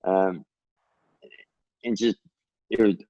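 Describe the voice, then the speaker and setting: Deep-voiced man, dull call audio. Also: steady tone, slow pace, warm tone, no room sound. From Texas. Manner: earnest, rambling, encouraging. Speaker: guest author. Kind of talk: casual interview talk.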